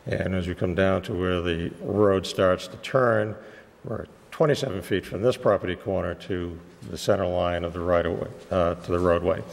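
A man with a cold, losing his voice, presenting a technical site plan to a planning board in a measured way with many "uh" fillers. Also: low-pitched, gravelly, big-room sound. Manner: measured and explanatory, with frequent "uh" fillers. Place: town meeting room.

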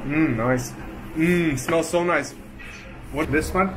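A man's voice: on the high side, slow, over music, poor audio. A young man in his twenties, a travel vlogger, chatting and joking playfully with his barber while getting a haircut in a small barbershop. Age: 20s. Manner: playful, joking, laughs often. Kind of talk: casual chat. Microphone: handheld camera close up.

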